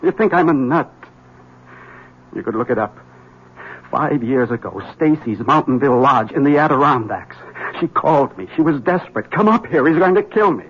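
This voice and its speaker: A man's voice: mid-range voice, lively intonation, normal speed, breathy edge. An actor playing a haunted, desperate man who urgently warns a rival, in a 1970s radio drama. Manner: tense, urgent, bursts of agitation. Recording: dull 1970s radio broadcast.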